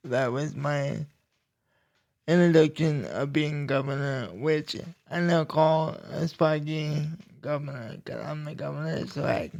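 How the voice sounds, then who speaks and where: A young man talks slowly and deliberately on his podcast, often pausing and restarting a phrase. His voice is a touch higher than most men's and carries a gravelly fry. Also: darkish tone. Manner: slow, deliberate speech with pauses and restarts. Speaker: podcast host. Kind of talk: casual personal talk.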